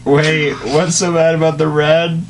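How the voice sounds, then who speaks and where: A man's voice: a little high, little pitch movement, measured pace, a little hiss or hum, poor audio. A man chatting casually as a co-commentator, reacting with incredulous exclamations.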